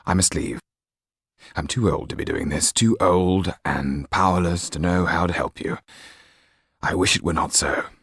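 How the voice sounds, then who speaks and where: A man's voice: a little slow, fairly bright tone, slightly rough, dry recording, clean studio sound, full character voice. A man voicing a kindly, weary old French lawyer, grave and hesitant, in an audiobook. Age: older. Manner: hesitant, grave, low-voiced.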